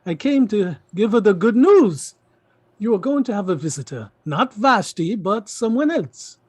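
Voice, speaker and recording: A man's voice: high voice, animated, a little slow, breathy edge, dry recording, full character voice. A man acting in a play reading as a middle-aged uncle, pleading and repeating himself emphatically. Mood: coaxing. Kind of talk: theatrical stage play reading.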